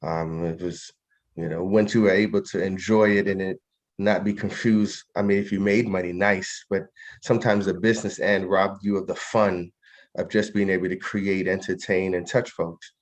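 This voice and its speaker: A man's voice: deep voice, steady tone, moderate pace. A middle-aged man from New York, a guest poet, talking reflectively and off the cuff in an interview. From New York, of a Trinidadian family.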